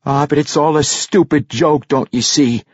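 Man voicing a furious young dwarf, raised husky voice. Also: on the high side, moderate pace, no echo, dull audio, pitched above the performer's own voice. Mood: bitter ranting. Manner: defiant, explosive, rough rustic speech. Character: bitter, angry young dwarf son with old warrior's soul.

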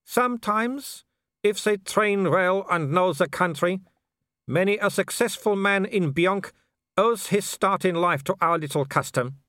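A man's voice: high-pitched, expressive, measured pace, a bit bright, no room sound, clean studio sound, voice pushed up. A man voicing a menacing, haughty werewolf aristocrat who taunts in formal, grandly scornful phrases in an audiobook story. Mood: taunting menace. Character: haughty, menacing male werewolf pack leader, foreign aristocrat.

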